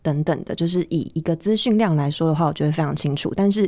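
A woman's voice: low-pitched, fast talker, mellow, no room sound. A woman with a Taiwanese Mandarin accent speaking casually as a guest in an interview. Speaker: podcast guest, actress.

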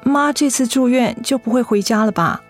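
An actress playing a devoted, tender and anxious young adult daughter in a Mandarin radio drama. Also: female voice, fairly high pitch, moderate pace, soft background music, natural voice. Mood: anxious, sorrowful.

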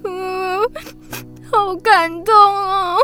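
A woman's voice: extremely high pitch, little pitch movement, drawn-out words, sharp tone, soft background music, full character voice. A woman with a Taiwanese accent voicing a cute, gushing, starry-eyed little child in a children's radio drama, sweet and full of exclamations. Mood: gushing wonder.

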